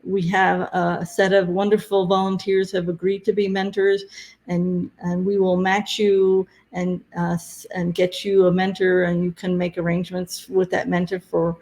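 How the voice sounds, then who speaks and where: A woman presenting conference deadlines and tracks in a webinar, talking haltingly with many fillers, her voice going hoarse. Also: on the low side, level tone, a little mellow. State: losing her voice.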